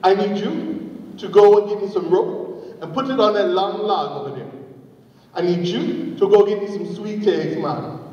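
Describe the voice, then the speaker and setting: Sly, coaxing male trickster spider, acted by a man, Jamaican accent, very high voice, distant in a hall. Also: normal speed, darkish tone, natural voice. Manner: coaxing, streetwise "hey, man" patter. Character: wily, flattering male trickster spider Anansi. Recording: distant mic in echoey hall, dull.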